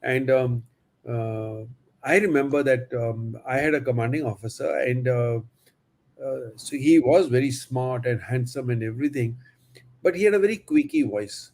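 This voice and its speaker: A man's voice: medium pitch, normal speed, a little mellow. Man hosting an interview, telling anecdotes. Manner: anecdotal, with frequent fillers. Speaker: host.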